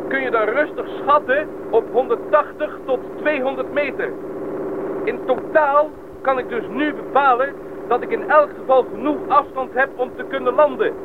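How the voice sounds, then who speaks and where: A man in a radio play playing a confident, practical pilot who explains things in a measured, self-assured way. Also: very high-pitched. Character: confident, practical bush pilot, adult man, eager and unafraid. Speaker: small-plane pilot. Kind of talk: Dutch radio play acting. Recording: tinny band-limited radio recording, loud engine noise.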